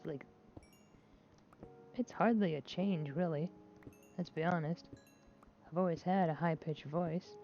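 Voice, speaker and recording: Gravelly-voiced woman rambling on a dull mic. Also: on the low side, mellow. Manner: rambling, hesitant, with many restarts and filler words; candid and self-deprecating. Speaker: gaming vlogger. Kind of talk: casual chat while playing a game. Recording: dull lo-fi mic with faint noise.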